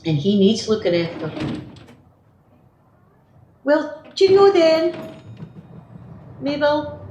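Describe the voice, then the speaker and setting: A woman's voice: sits high, sing-song, slow, dark tone, muffled recording, heavily acted. A woman playing a gossipy, complaining working-class Scottish wife in a radio play monologue. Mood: gossipy, self-satisfied. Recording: roomy, noisy remote home recording.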